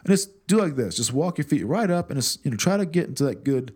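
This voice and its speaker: A man voicing an encouraging, upbeat male fitness coach, raising his pitch and coaxing, reassuring. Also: big pitch swings, fast talker, a little mellow, husky.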